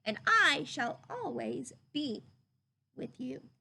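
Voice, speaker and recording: A woman voicing a gentle, loving, simple-hearted male toy bear in a storybook, speaking slowly and earnestly. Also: high voice, very animated, creaky, husky, full character voice. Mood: devoted, earnest.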